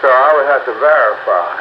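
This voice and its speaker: A man chatting casually over two-way radio in a folksy, colloquial way. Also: medium pitch. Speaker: amateur radio operator in a group chat. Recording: lo-fi radio transmission with loud static.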